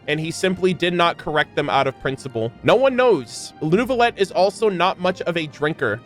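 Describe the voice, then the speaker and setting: A young man with a fairly high-pitched voice over faint music. He reads aloud and reacts as a game streamer, expressive and playful, with casual slang.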